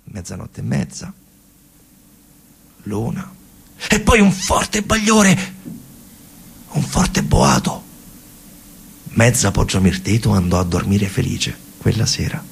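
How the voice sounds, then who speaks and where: A man's voice: fairly low pitch, expressive, slow, gravelly, pauses often, a little hiss or hum. A man with a central Italian accent from Lazio delivers a dramatic narrative theatre monologue, colloquial and emphatic, repeating phrases for effect. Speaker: storyteller actor in a radio theatre monologue.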